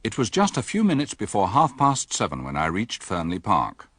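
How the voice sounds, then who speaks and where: An actor playing a mild village doctor in a radio drama, speaking and narrating in a measured, matter-of-fact way. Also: male voice, on the low side, huge pitch swings, a bit bright, dry recording, clean studio sound, exaggerated voice. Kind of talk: radio drama dialogue and first-person narration.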